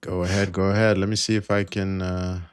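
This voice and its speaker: A man's voice: deep voice, little pitch movement, a little fast, clear, resonant tone. A man co-hosting a live trading stream with casual market commentary, thinking aloud in a hesitant way.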